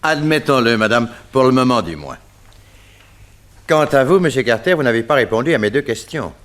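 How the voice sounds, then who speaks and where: An actor playing a stern male investigator in a French radio detective serial, speaking formally and deliberately. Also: medium pitch, lively intonation, a bit bright, close to an everyday voice. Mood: stern, probing.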